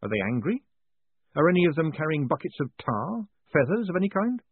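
A man voicing a wary, quick-witted young con man who sounds wry and nervy. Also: fairly high pitch, big pitch swings, husky, no echo, voice pushed up, exaggerated voice. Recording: tinny phone-like audio.

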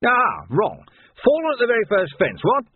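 Man acting a pompous, high-pitched old police captain. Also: wildly swooping pitch, moderate pace, husky, narrow, tinny audio, heavily acted. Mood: indignant. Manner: pompous, doddering, ends remarks with "what?". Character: blustering, slow-witted old police captain, easily flustered. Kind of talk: audiobook character acting.

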